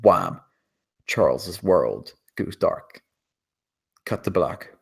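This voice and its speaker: A man's voice: deep voice, lively intonation, very slow, vocal fry, breathy edge. A man reading scripted screenplay action as the narrator.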